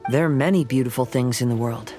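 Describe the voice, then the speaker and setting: A woman playing a calm, cautious, protective companion in a video game, speaking reassuringly. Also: very deep voice, fast, faint music behind. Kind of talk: video game character acting.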